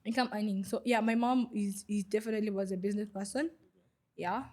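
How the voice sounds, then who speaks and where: A woman's voice: medium pitch, fairly even intonation, fast talker, darkish tone. A young woman from Goma in the DR Congo, a podcast guest, who tells her story in a hesitant way with many fillers. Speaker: guest, refugee vendor. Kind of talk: podcast interview.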